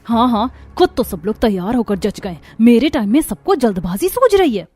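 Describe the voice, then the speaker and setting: A woman's voice: on the high side, animated, moderate pace, darkish tone, slightly rough. A woman voicing an overworked young daughter-in-law in a cartoon story, dutiful but resentful, with a huffy, complaining manner. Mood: irritated. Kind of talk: Hindi cartoon story dialogue.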